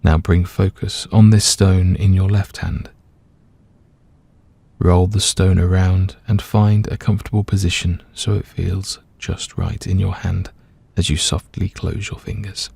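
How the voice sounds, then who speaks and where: A man gently and calmly leading a scripted guided meditation, letting his voice soften at the ends of phrases. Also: deep voice, unhurried, gravelly, slightly rough. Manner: gentle, calm, soothing, hushed at phrase ends.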